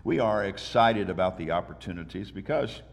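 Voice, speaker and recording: A pastor with a congested voice from a sinus infection welcomes the congregation in church and leads them in prayer with earnest, steady delivery. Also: male voice, deep voice, normal speed, vocal fry. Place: church sanctuary. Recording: long church-hall reverb.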